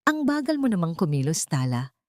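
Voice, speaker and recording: A woman's voice: lowish voice, sing-song, a little fast, no room sound, studio quality. Woman acting a spiteful, sweetly venomous mistress. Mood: sweetly taunting. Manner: syrupy sweet, sneering, condescending.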